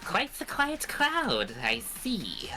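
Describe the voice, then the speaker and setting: A woman's voice: on the high side, huge pitch swings, drawn-out words, bright, forceful tone, soft background music, full character voice. A woman voicing a cruel, pompous villain creature in a game, mocking and theatrical, drawing out each taunt. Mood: mocking, menacing. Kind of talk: hobby voice acting of a visual novel game.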